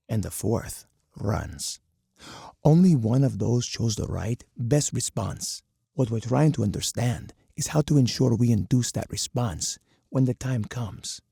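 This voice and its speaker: Hardened military commander, game character, dark and husky timbre, close dry sound, stern, deliberate, menacing oratory. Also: male voice, lowish voice, no echo, exaggerated voice. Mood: measured instruction. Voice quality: gravelly, husky. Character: hard, commanding older male military colonel, menacing strategist. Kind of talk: dramatic scripted game character monologue.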